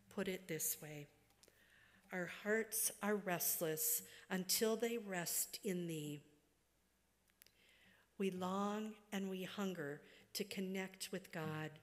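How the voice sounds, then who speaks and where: Slow, measured woman preaching in a reverberant church. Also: lowish voice. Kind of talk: sermon. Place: church sanctuary, at a wooden pulpit. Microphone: gooseneck pulpit microphone. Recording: long reverberant church hall.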